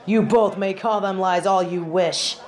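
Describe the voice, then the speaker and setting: A woman's voice: medium pitch, steady tone, unhurried, a little mellow, some chatter behind, lightly acted. A woman playing a haughty, righteous angel judge in a scripted audio drama, speaking in formal, imperious, theatrical declamation. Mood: scornful. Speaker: voice actor.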